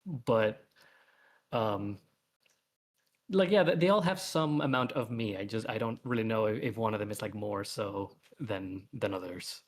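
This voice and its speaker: Male webcomic creator, low voice, rambling, thinking-aloud delivery for a casual livestream chat. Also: unhurried. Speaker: webcomic author, guest.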